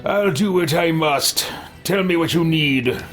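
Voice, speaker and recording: A man's voice: a little high, a little slow, a bit bright. Theatrical man roleplaying over game music. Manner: theatrical, in-character delivery. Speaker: let's play host. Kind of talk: roleplaying commentary on a video game.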